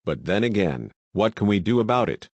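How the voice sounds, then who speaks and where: A man acting as a news anchor, delivering a scripted broadcast in a measured, earnest way. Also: on the low side, big pitch swings, a little mellow, dry recording, muffled recording.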